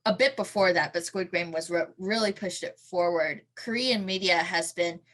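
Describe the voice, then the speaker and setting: Woman host, bright forceful tone, slightly low pitch, friendly questions. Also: moderate pace. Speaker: podcast host. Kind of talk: podcast interview.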